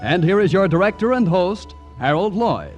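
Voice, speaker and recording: A man announces in 1940s radio style. His voice is high and very animated, with faint music behind it. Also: normal speed.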